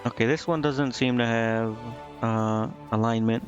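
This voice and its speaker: A man's voice: mid-range voice, steady tone, measured pace, lo-fi sound. A man doing casual live gameplay commentary, thinking aloud indecisively and reading game text out. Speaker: game streamer. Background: game music.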